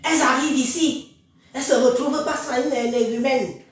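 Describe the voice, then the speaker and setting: A woman holds forth in a scornful, preachy live-stream rant and speaks straight to her viewers. Her high voice sounds stuffed up from a head cold. She sits well back from the mic in a room full of echo. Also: steady tone, moderate pace. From Cameroon. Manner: scornful, preachy, addresses listeners directly. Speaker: social media live streamer. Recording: very echoey room, far from mic.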